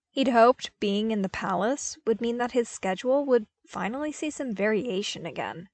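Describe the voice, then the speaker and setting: Expressive woman narrator, close and dry. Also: mid-range voice, dry recording. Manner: wry, conversational, emphatic. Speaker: podfic narrator.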